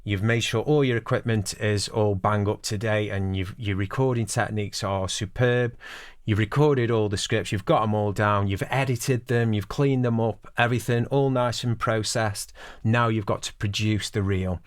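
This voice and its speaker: Low-pitched man, dry close sound. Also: steady tone, normal speed, clear, resonant tone, dry recording. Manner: explanatory, addressing the listener directly. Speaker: voice-over producer giving advice. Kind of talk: instructional talk to camera.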